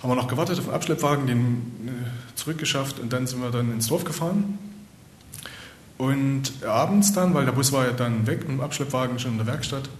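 Guy telling his mission story to the church, rambly, mid pitch, echoey hall w a low rumble. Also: a little fast. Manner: plain, rambling storytelling with fillers. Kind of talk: personal testimony to a congregation. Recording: echoey room with faint rumble.

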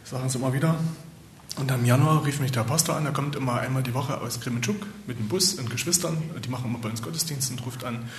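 A man, a missionary, telling a plain, rambling story to a congregation with many fillers. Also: quick pace. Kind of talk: personal testimony to a congregation. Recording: echoey room with faint rumble.

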